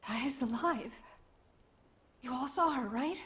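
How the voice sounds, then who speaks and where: A woman's voice: sits high, takes it slowly, fairly bright tone. An actress playing a weary, devoted woman soldier in a video game, emotional and insistent. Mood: fervent, weary.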